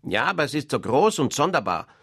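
A man reading a fairy tale aloud, voicing a scornful, loud farmyard duck. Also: medium pitch, big pitch swings, fairly quick, slightly rough, no room sound, clean studio sound, pitched above the performer's own voice. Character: sneering farmyard duck, female.

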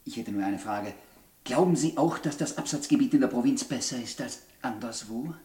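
Man playing flustered polite lovesick guy, old radio play, halting talk, echoey room. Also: mid-range voice, lively intonation, warm tone, lightly acted. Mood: downcast. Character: hesitant, lovesick young suitor, polite drifter heading for the provinces. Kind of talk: 1960s German radio play acting. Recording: roomy 1960s radio studio recording.

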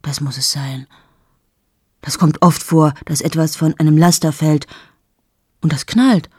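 A woman's voice: deep voice, steady tone, unhurried, darkish tone, slightly rough, dry recording, clean studio sound. An actress in her late thirties playing a cold, amoral journalist in a radio play monologue, speaking intimately to the listener and often dropping into whispers. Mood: brooding. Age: late 30s. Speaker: radio drama lead.

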